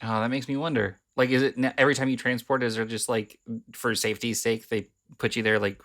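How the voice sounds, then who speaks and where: A man's voice: mid-range voice, fairly even intonation, fast, fairly bright tone. A man co-hosting a podcast in casual chat, asking curious questions with lots of likes and ums. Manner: curious, questioning, many fillers. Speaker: podcast co-host.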